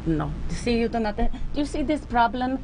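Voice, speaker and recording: A woman's voice: on the high side, very animated, normal speed, a little mellow, slight background noise, low-quality recording. A woman impersonating an exasperated foreign first lady, scolding in broken English in a comedy bit. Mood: exasperated complaint.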